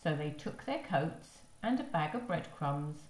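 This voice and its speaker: Low-voiced woman reading to children, very animated. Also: unhurried. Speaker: primary school story reader. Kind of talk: expressive children's storybook narration. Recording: roomy echo.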